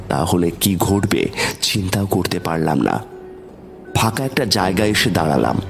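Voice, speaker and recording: Male horror narrator: low voice, suspenseful, dramatic scripted storytelling over soft background music.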